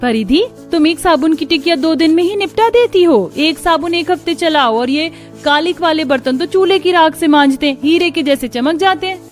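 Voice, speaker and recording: A very high-pitched, thrifty elder sister-in-law from a cartoon, put on by a woman. She reproves and instructs like the senior woman of the house, with music behind her. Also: moderate pace, natural voice. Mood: reproving.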